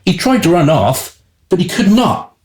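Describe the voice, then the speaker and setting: An actor playing a wry, self-serving servant who narrates a radio play in an arch, deliberate storytelling manner. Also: male voice, high-pitched, sing-song, darkish tone, echoey room. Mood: amused.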